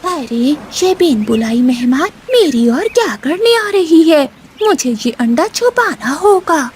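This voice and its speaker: A woman's voice: sits high, sing-song, takes it slowly, a little mellow, heavily acted. A woman voicing a menacing, gloating female hunter eagle in a children's cartoon. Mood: wary. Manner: threatening, gloating. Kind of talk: Hindi children's cartoon voice acting.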